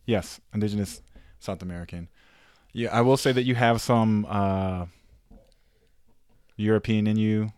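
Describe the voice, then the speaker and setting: A man's voice: on the low side, fairly even intonation, unhurried, long pauses, a little mellow, clean tone, dry recording, studio quality. A man co-hosting a podcast in casual chat, rambling and profane, full of fillers. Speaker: podcast co-host.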